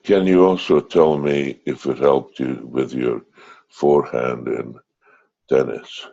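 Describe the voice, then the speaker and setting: A man working as a therapist, introducing and questioning his client in a halting interview style. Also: rumbling low, fairly even intonation, vocal fry, mellow. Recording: dull lo-fi audio.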